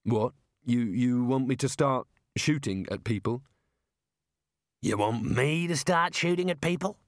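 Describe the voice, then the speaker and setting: A man's voice: mid-range voice, big pitch swings, takes it slowly, darkish tone, dry recording, clean studio sound. A man voicing a cowardly male wizard who protests in a nervous, aggrieved way, in an audiobook fantasy. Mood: alarmed.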